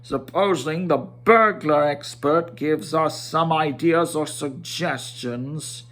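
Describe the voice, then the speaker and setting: A man's voice: a little high, takes it slowly, a bit bright. A man voicing a proud, long-winded dwarf leader recounting family history in a storybook. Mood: impatient. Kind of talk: storybook character voice.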